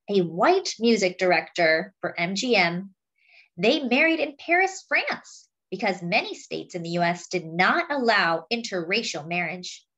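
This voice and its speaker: A woman reading a picture book aloud, with expressive, dramatic phrasing. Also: mid-range voice, rough recording. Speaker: storybook reader.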